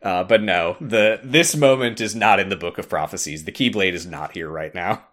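Male podcast host, lower register, informal storyteller with a quick laugh. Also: fairly bright tone. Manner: laughs often, informal, mildly sweary. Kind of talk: casual retelling of a game story with commentary.

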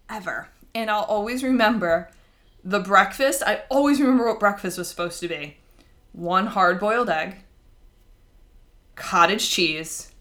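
A woman with a bright, forceful voice co-hosts a casual podcast. She tells personal stories in a wry, self-deprecating way and leans hard on her key points. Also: mid-range voice. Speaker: podcast co-host.

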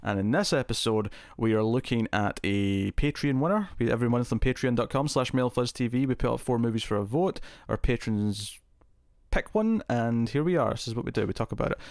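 A man's voice: medium pitch, a little fast, darkish tone, no room sound. Man, podcast host, chatty, laughs a lot, dry close sound. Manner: chatty, laughs often, restarts words. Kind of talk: casual chat.

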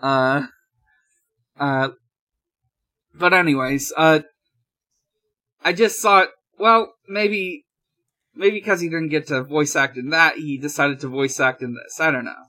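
A man hosting a podcast, chatting casually through news in a halting, hesitant way with drawn-out pauses. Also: a little high, pushed and bright. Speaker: podcast host.

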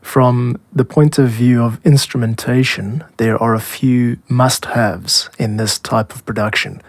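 A man with a South African accent hosting a podcast, explaining in a measured, scripted style. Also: mid-range voice, steady tone, dry recording. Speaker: podcast host, music composer and producer.

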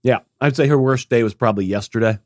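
A man co-hosting a casual podcast chat, congested and tired, talking in a rambling, halting way with many fillers. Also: medium pitch, no echo, studio quality. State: congested, recovering from illness. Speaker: podcast co-host.

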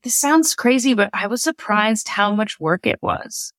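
A woman's voice: medium pitch, expressive, unhurried, fairly bright tone, no echo, studio quality. A woman talking casually as an interview guest, telling things anecdotally and repeating words for emphasis. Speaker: podcast guest, audio drama creator.

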